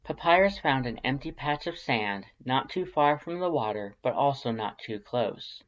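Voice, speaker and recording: Low-key story narrator, muffled sound. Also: expressive, moderate pace. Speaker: fan fiction narrator.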